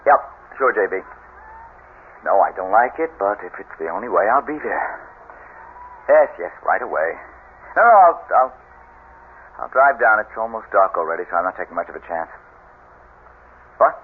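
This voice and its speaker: Casting: male actor for low-pitched, secretive husband, harried and placating, very animated delivery, vintage radio drama. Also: close to an everyday voice. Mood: brisk, placating. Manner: agitated, halting, placating. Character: evasive, harried American husband hiding a secret. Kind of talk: 1970s radio drama acting. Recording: dull, noisy 1970s radio recording.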